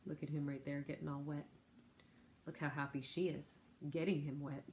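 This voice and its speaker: A woman teacher reading a picture book aloud to children, expressive, with chatty asides to her young listeners. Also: low-pitched, normal speed, slight background noise.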